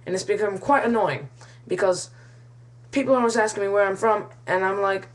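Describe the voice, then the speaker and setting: A woman's voice: mid-range voice, brisk. A woman from the United States telling a rambling, mock-serious comic monologue in a put-on British-Australian accent. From United States, Kansas. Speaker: comic storyteller.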